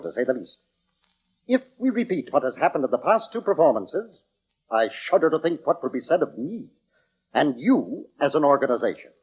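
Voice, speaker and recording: A fretful, courtly British male conductor, formal in speech and very animated in pitch. His voice is husky, and the old 1940s broadcast sound is dull. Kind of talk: 1940s radio acting. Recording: dull, band-limited old radio recording.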